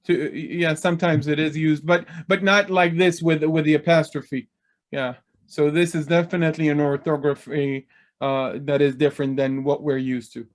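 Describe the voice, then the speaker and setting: A man's voice: fairly high pitch, flat delivery, moderate pace. A man hosting an interview, talking in a hesitant way with frequent fillers. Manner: hesitant, frequent "you know". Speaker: host. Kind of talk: conversational interview.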